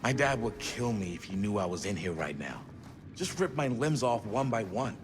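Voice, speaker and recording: A man's voice: lowish voice, very animated, normal speed, over music. A man playing an eager, blunt young horror fan in a naturalistic video game cutscene. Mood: nervous joking.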